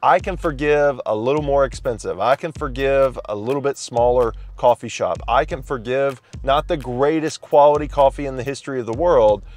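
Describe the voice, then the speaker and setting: A man in his thirties, loud and chatty, reviewing a coffee shop from inside a parked car and talking to a phone camera held at arm's length. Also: medium pitch, normal speed, hardly any pauses. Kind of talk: casual vlog review.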